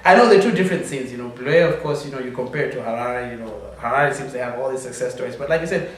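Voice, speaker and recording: A man from Zimbabwe interviewing a musician in a casual chat, affirming the guest often and trailing off into soft fillers. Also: mid-range voice, very fast. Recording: echoey room, distant mic, faint noise.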